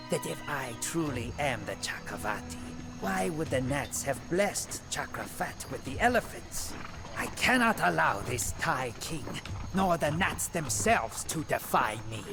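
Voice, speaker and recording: A proud, ambitious Burmese warrior king for a game cutscene, speaks at a measured pace over prominent music. His mid-pitched voice is grave and theatrical, declaiming his own tale with brooding, imperious weight. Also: sing-song. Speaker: narrator and protagonist of game cutscenes. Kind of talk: dramatic scripted first-person narration.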